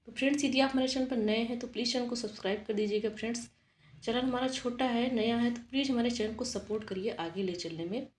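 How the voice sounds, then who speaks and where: A woman's voice: fairly high pitch, quick pace, echoey room, a little hiss or hum. A woman teacher giving an exam-prep lesson, explaining steadily and asking and answering her own questions.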